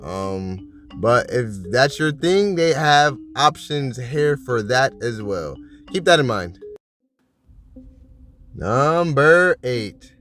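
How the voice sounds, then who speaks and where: A man hosting a casual video, talking loosely with fillers and frequent laughs, reading list items aloud, his voice bassy from just waking up. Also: sing-song, slow pace, a bit bright, soft background music. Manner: rambling, laughs often, many fillers. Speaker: vlogger host.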